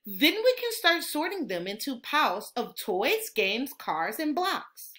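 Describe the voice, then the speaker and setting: Bright, animated woman reading to young children. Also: high-pitched, measured pace. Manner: expressive, instructional, addressing young children. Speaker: teacher reading a picture book aloud. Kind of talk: animated children's read-aloud.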